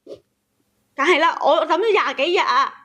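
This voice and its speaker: Female caller, Cantonese accent, very high voice, emotional first-person storytelling with emphatic repetition. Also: fairly quick, bright, forceful tone. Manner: agitated, emphatic, repeats phrases. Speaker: caller telling her own story.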